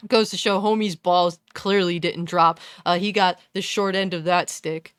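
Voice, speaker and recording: A woman with a fairly deep voice giving a blunt, scornful, profane commentary rant. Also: fairly even intonation, normal speed, clean tone, dry recording, studio quality. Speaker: commentary YouTuber. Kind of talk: ranting commentary.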